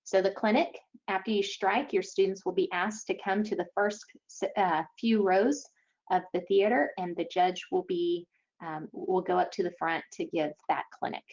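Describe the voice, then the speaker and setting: A woman giving an explanatory webinar briefing as an event organizer, speaking haltingly with frequent pauses. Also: medium pitch, a little mellow. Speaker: event organizer presenting to schools. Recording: dull, lo-fi online webinar audio.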